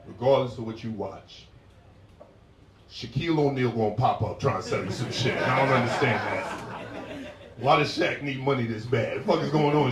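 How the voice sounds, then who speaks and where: A man in his forties doing a stand-up set, telling stories in a laid-back way and breaking into loud shouted impressions. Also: mid-range voice, noisy background. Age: 40s. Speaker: stand-up comedian. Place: comedy club stage. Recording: roomy club sound from a distance.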